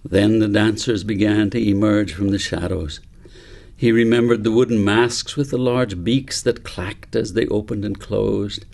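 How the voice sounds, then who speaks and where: A man narrating a novel as its author, in measured, deliberate phrases with pauses. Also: fairly low pitch, steady tone, vocal fry, darkish tone. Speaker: author reading his novel. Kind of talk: scripted audiobook narration.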